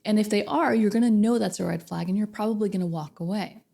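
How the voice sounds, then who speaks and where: Coach lady with a bit of a cold, kinda hoarse and gravelly, warm low-key tone, keeps asking viewers stuff. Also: fast talker. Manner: chatty, addresses viewers directly with questions. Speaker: narcissistic abuse recovery coach. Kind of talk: casual direct-to-camera advice talk.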